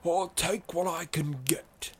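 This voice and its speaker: A man's voice: a little high, slow pace, slight background noise, pitched above the performer's own voice. A man voicing a grizzled old explorer in a fantasy story, wry and dry-humored.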